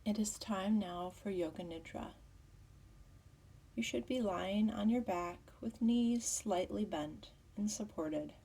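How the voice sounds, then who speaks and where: A woman teaching yoga nidra as a guided meditation, with a soft, breathy voice and soothing, measured instruction. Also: mid-range voice, distant mic.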